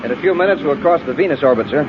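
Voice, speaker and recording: Actor as an earnest, quick-talking space lieutenant. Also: male voice, on the high side, lively intonation, natural voice. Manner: earnest, military deference. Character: earnest male space patrol lieutenant, intense and respectful. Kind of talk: 1950s radio drama acting. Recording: muffled old radio recording, faint noise.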